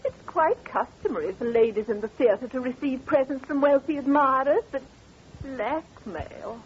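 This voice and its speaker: A woman's voice: high voice, expressive, moderate pace. An actress playing a young theatre singer, girlish, coy and theatrical, in 1940s radio drama style. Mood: indignant. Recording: dull, noisy old radio recording.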